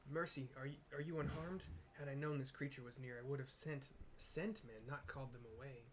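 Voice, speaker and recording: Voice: mid-range voice, steady tone, a little hiss or hum, lightly acted. Man playing a formal, courteous archer commander. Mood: formal, grateful. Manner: stately, measured military formality.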